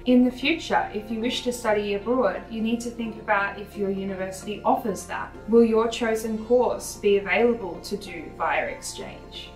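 A young woman presenting scripted advice about choosing a university, in a steady, instructive way with many questions. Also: mid-range voice. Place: bright office with a window. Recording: roomy, distant sound under music. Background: background music.

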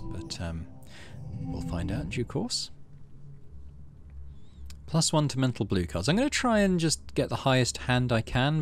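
A man hosting a gaming video, mixing casual commentary with expressive reading aloud of story text. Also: lowish voice.

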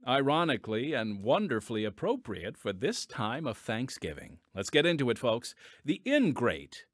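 A man's voice: medium pitch, wildly swooping pitch, moderate pace, no echo, studio quality. A man hosting a podcast, giving a scripted introduction and speaking directly to listeners. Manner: addresses listeners directly as friends. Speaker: podcast host and announcer. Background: music.

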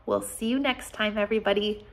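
An American woman in her forties leading a children's Bible storytime as pastor, speaking in simple, deliberate phrases meant for young children. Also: mid-range voice, fairly even intonation. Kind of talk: children's storytime, reading and explaining. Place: small office, indoors.

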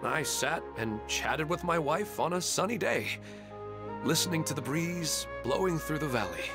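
An actor playing a polite, gentle widower from a village in a video game, courteous and a little hesitant. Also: male voice, fairly high pitch, animated, moderate pace, over music. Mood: polite, hopeful. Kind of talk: video game character acting.

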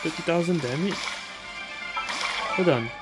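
A woman's voice: deep voice, a little slow. A woman game streamer giving casual gameplay commentary. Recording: loud game music behind. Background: video game music.

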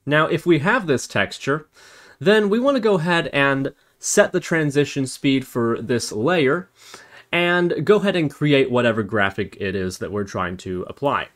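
A man's voice: medium pitch, lively intonation, measured pace, a bit bright. Man narrating a coding tutorial. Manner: explanatory, thinking aloud while typing. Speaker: programming tutorial instructor. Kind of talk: step-by-step screencast tutorial narration.

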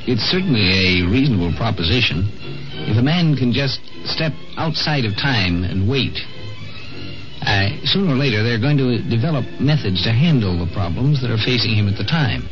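A man's voice: mid-range voice, expressive, normal speed, music in the background. A man giving explanatory commentary as host of a vintage radio show. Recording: muffled old radio recording.

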